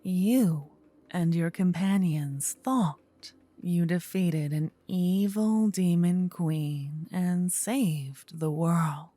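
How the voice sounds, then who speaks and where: A woman narrating a scripted fantasy story in a soft, breathy ASMR style. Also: lowish voice, drawn-out words.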